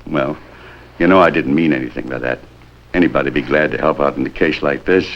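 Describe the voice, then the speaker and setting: A man's voice: very deep voice, fairly quick, creaky. A man playing a wheedling, money-minded hotel desk clerk in a 1950s American police drama, hedging and ingratiating as he talks. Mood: defensive, ingratiating. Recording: dull, noisy 1950s film soundtrack.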